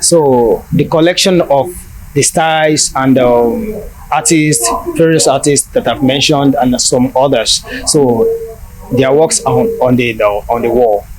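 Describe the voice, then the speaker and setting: A man's voice: medium pitch, crowd noise, rough recording. A man with a Nigerian accent speaking hesitantly as a gallery owner in an interview. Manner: hesitant, halting.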